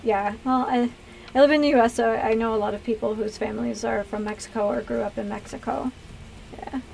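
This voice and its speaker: A woman's voice: fairly high pitch, fairly even intonation, fairly quick, gravelly, darkish tone, slight background noise, rough recording. A woman with an American accent, a streamer from the US East Coast, chatting casually with viewers in a hesitant, rambling way, thinking aloud. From US East Coast, Pennsylvania. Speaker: video game streamer and host. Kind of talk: casual livestream chat with viewers.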